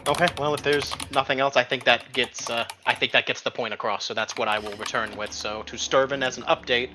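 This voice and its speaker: A man talking as a player in a tabletop game, casual but deliberate and precise. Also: medium pitch, fairly even intonation, noisy background. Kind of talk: casual table talk, reading out own drafts.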